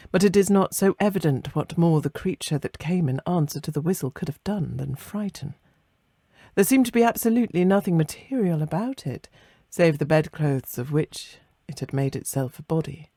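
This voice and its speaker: A narrator reading a classic ghost story in a measured, literary and expressive way, letting phrases soften at the end. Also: mid-range voice, no room sound, clean studio sound.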